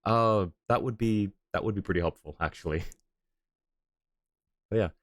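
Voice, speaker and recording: Low-voiced man chatting on a game stream. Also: moderate pace, dry recording. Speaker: livestream host, gamer. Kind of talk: casual game stream chat, reading chat and game dialogue aloud.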